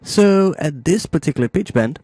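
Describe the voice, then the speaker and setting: A man hosting a casual step-by-step music software tutorial, thinking aloud informally as he demonstrates. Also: on the high side, animated, moderate pace, no echo. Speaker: music production tutorial host.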